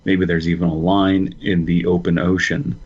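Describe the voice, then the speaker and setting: Low husky-voiced man, lo-fi. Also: little pitch movement, moderate pace, darkish tone. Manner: jokey, reads story text aloud. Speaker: podcast co-host. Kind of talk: casual podcast banter.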